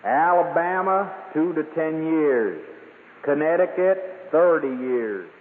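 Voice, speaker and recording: A stern official voiced by a man recites sentences very slowly for a radio ad, in a raised pitch. The thin recording carries long reverb. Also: expressive. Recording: echoing, tinny radio recording.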